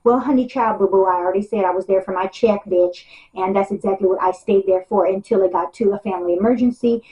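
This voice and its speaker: A woman's voice: medium pitch, fast talker, warm tone. A woman talking casually and candidly to viewers in frank slang, on dull lo-fi clip audio. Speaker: reality show cast member.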